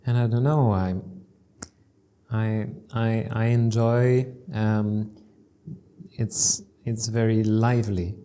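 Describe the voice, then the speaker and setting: A man's voice: lowish voice, level tone, takes it slowly, pauses often, warm tone, smooth. A man with a French accent, a theatre director chatting casually as an interview guest, hesitating and repeating words as he thinks. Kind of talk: casual interview chat in English.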